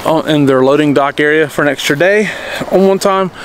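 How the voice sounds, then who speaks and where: A man's voice: on the high side, brisk. Man, thirties, casual rambling explainer, arm's-length camera outdoors, light outdoor background noise. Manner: rambling, frequent restarts and "okay?" checks. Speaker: homesteading vlogger. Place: backyard outdoors. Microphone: handheld camera at arm's length.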